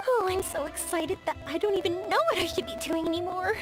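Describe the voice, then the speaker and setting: An actress playing a bubbly, excitable young woman traveler in an anime-style game, gushing and eager. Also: extremely high pitch, fast. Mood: excited. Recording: music playing behind the voice.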